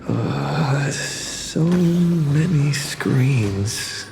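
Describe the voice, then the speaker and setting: An actor playing an earnest young male hero in a video game, with a hesitant, deliberate way of talking. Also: a little high, lively intonation, vocal fry, darkish tone, faint music behind, exaggerated voice, low-quality recording.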